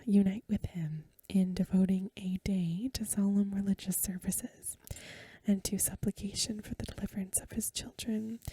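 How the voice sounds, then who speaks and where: Woman, ASMR reader, unvoiced breathy whisper, hushed measured delivery, soft mellow tone, dry close recording. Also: medium pitch, no echo. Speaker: ASMR host reading a story aloud. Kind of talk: whispered ASMR bedtime story reading.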